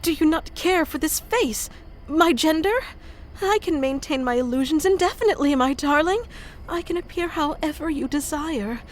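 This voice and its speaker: A woman's voice: sits high, moderate pace, lightly acted. A woman voicing an obsessive, clingy and powerful female kitsune familiar in an intimate, theatrical ASMR roleplay. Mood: desperate pleading. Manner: intimate, theatrical, swinging between hushed and pleading.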